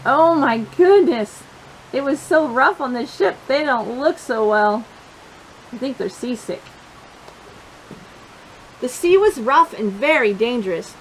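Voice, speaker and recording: A woman with a high, very animated voice reads a children's storybook aloud. Her delivery swings widely in pitch, and she speaks directly and encouragingly to little listeners. A steady hiss runs behind her voice. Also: slow pace, long pauses, poor audio. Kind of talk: animated picture-book read-aloud with questions to young listeners.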